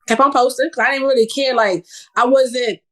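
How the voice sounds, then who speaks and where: A woman from New York City, a guest on a casual podcast, chatting in a relaxed way and laughing easily. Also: sits high, brisk. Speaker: podcast guest, social media creator.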